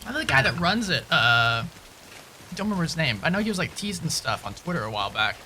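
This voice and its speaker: A man giving casual live gameplay commentary and chatting informally with viewers, halting and repeating himself at times. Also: a little high, very animated, pushed and bright, slight background noise. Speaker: game streamer.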